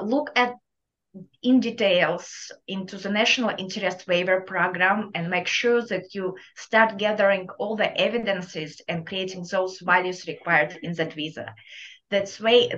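A woman's voice: mid-range voice, fairly bright tone, highs cut off. A woman from Kazakhstan with a thick Russian accent, giving earnest motivational advice as an interview guest. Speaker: guest entrepreneur.

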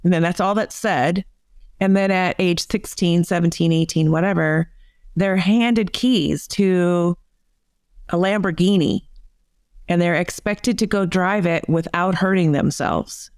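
A woman's voice: lowish voice, unhurried, a little mellow, no echo, clean studio sound. A woman, a psychotherapist guest, explaining her ideas in a conversational interview with many fillers. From United States.

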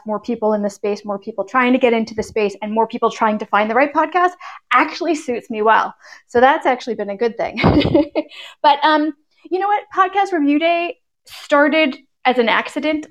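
Female podcast guest, somewhat high register, informal anecdotal delivery, conversational interview answers. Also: moderate pace. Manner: chatty, informal storytelling with quoted asides.

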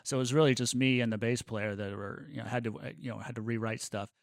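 A man's voice: medium pitch, steady tone, fast talker, no room sound, studio quality. A man talking casually as a podcast co-host, rambling along enthusiastically with a joking streak.

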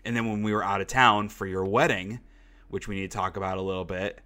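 A man's voice: low-pitched, fairly even intonation, fast, pushed and bright. A young man hosting a casual podcast, congested from a cold and rambling with lots of fillers. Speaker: podcast host. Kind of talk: casual chat.

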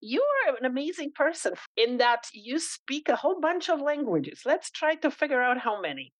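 A woman's voice: high-pitched, big pitch swings, normal speed, no echo. A woman hosting an interview, asking chatty, encouraging questions. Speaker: host.

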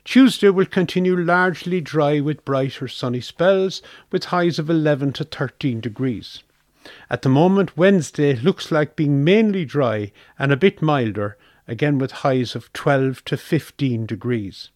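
A man's voice: fairly high pitch, measured pace, dry recording, clean studio sound. A man reading a scripted weather forecast for radio.

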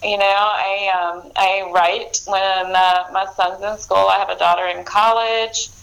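A woman talks about her writing life in a relaxed interview. Her speech is full of ums, restarts and easy laughter, and her pitch sits mid-range. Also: a little slow, vocal fry, low-quality recording. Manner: chatty, laughs often, many fillers and restarts. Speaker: author guest. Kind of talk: casual interview answers.

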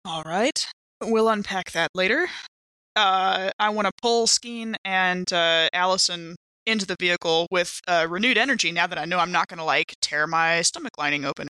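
A woman's voice: on the low side, normal speed, bright, forceful tone, clean tone, no echo, low-quality recording. A woman playing a tabletop game, casually saying what the character wants to do.

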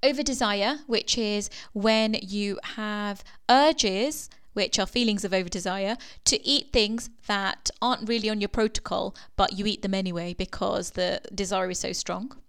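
Croaky-voiced woman coaching podcast host. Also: medium pitch, steady tone, normal speed, few pauses, a bit bright. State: slightly croaky from a sore throat. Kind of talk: solo podcast monologue.

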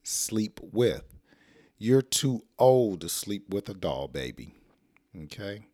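A middle-aged American man with a hoarse, rough throat, teaching an English lesson in a casual, playful way and acting out example sentences. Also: lowish voice, big pitch swings, slow pace, dark tone, no room sound, studio quality.

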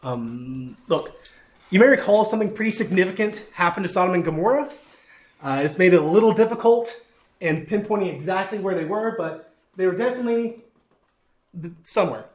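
A man's voice: sits high, expressive, brisk. A man with a Southern accent teaching a sermon, folksy and self-deprecating, with frequent fillers. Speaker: pastor.

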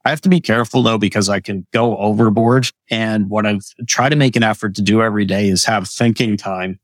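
A man speaking as a guest on a podcast, relaxed and easygoing, laughing at himself as he chats. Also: lowish voice, fairly quick, dry recording. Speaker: podcast guest, business coach. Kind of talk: casual interview chat.

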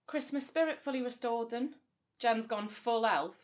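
A woman's voice: a little high, normal speed, close to an everyday voice. A woman acting a wry, stubborn sister in a naturalistic audio drama, joking in a dry, mock-serious way. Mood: stubborn, deadpan.

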